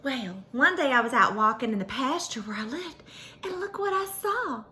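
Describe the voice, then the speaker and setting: A woman voicing an excitable, vain, dreamy female pony, telling her story to children in a gushing, folksy, dramatic way. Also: sits high, normal speed. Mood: excited, gushing. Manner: gushing, dramatic, folksy, addresses listeners as y'all. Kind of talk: animated first-person storytelling for children.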